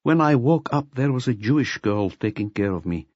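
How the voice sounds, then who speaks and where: A man's voice: mid-range voice, expressive, moderate pace, darkish tone, no echo, dull audio, close to an everyday voice. A man voicing a bitter, self-loathing drunkard who confesses with agitated self-pity in an audiobook. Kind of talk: audiobook character acting.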